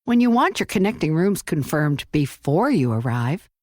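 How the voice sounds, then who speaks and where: A woman's voice: low voice, sing-song, normal speed, darkish tone. A woman reading scripted narration for a commercial.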